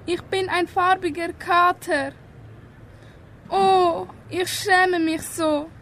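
A woman's voice: very high-pitched, bright, forceful tone, a little hiss or hum, full character voice. A teenage girl playing a sulky, easily shamed talking tomcat in a school radio play, with a whiny, meowing delivery.

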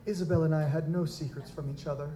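Man playing a high-voiced, stiff young lord, echoey. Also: steady tone, fast, dark tone, natural voice. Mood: polite, formal. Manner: formal, stiff, aristocratic diction. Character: formal, touchy young male nobleman, bereaved fiancé. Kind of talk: live audio drama acting. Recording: roomy, echoing, noisy live recording.

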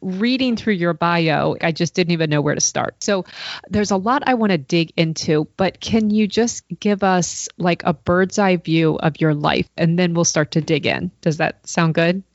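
A woman's voice: on the low side, moderate pace, no room sound, lo-fi sound. A woman hosting a recipe podcast, reading an enthusiastic introduction and then interviewing her guest. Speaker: podcast host.

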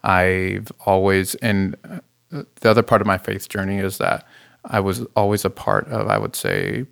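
A man in his thirties, a therapist and youth pastor, talking earnestly about his background in a relaxed interview. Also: deep voice, moderate pace, gravelly, clear, resonant tone. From Chile. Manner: earnest, relaxed storytelling with frequent fillers. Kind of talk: casual interview talk.

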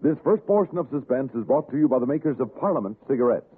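Vintage suspense announcer, male, mid-pitched, narrow tinny radio sound. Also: lively intonation, fast talker, heavy background noise. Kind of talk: 1960s radio drama announcing.

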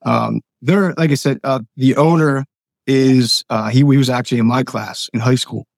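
Hoarse friendly man being interviewed. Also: mid-range voice, steady tone, normal speed, no echo. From Lancaster County, Pennsylvania. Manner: friendly, enthusiastic, filler-heavy. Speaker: guest. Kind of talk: casual interview chat.

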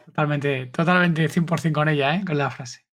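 A man's voice: on the high side, normal speed, fairly bright tone. A man, co-hosting a podcast in a casual, friendly way and steering the conversation. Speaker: podcast co-host. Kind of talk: casual interview chat.

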